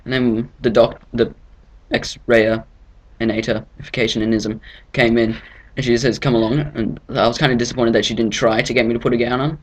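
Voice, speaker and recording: A man's voice: medium pitch, level tone, brisk, rough recording. A young man casually telling a comic story in a rambling, sarcastic way.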